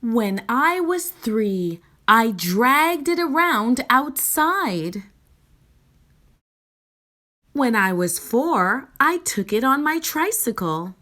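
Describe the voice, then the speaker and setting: Storybook mom voiced by a female reader, reassuring but firm, high-pitched, unhurried and motherly. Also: very animated, pushed and bright, full character voice. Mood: tender. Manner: deliberate, motherly.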